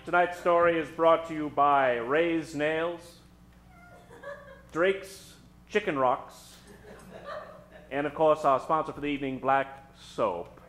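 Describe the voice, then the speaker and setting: A man hosting and narrating an old-time radio spoof on stage, with a theatrical, mock-ominous delivery full of dramatic pauses. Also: on the high side, fairly bright tone. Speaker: host and narrator of a radio show. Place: theater stage before an audience. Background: live keyboard music.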